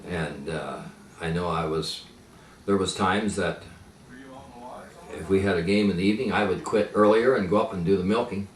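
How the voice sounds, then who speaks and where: An older man who grew up speaking Pennsylvania Dutch, reminiscing slowly with many pauses and fillers. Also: low-pitched. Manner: halting, many fillers and restarts. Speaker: interviewee. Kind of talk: reminiscing in conversation. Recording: echoey room, mic at a distance, faint noise.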